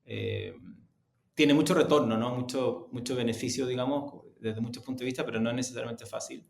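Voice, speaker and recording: A man answering interview questions in a reflective, halting way, repeating words for emphasis. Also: mid-range voice, steady tone, gravelly. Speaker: executive interview guest. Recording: roomy, echoing sound.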